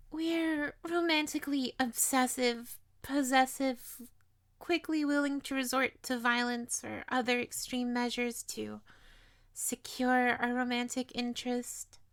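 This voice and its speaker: High-voiced, possessive lamia girl, played by a woman. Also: vocal fry, fairly bright tone, full character voice. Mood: matter-of-fact, wry. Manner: coaxing, teasing, addressing listener with pet names. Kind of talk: intimate roleplay audio drama monologue.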